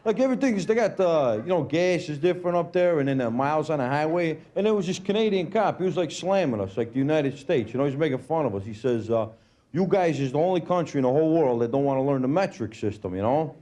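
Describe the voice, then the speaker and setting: Deadpan Brooklyn comic, lively pitch. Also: male voice. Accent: Brooklyn New York. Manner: laid-back, deadpan, lots of fillers like "you know" and "uh". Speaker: stand-up comedian. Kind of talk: stand-up comedy set. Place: comedy club stage with laughing audience. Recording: live club recording with audience laughter and room noise.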